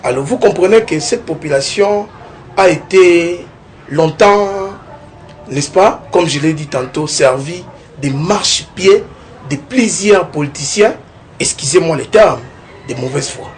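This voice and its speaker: A young man from the Kongo Central region of DR Congo, a political candidate giving a TV interview, talking in a lively way and often tagging his points with a rising question. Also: fairly high pitch, measured pace. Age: young adult. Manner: animated, keeps adding "n'est-ce pas?", rising tone on points.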